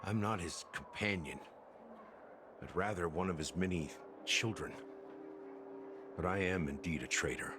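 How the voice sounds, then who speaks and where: A man voicing a weary, guilt-haunted officer in a video game, speaking slowly and ruefully like someone telling an old story. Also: low voice, background noise. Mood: regretful, confessing. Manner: slow, rueful, self-deprecating storyteller. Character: weary, world-worn male officer haunted by guilt and regret. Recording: music behind the voice. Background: game music.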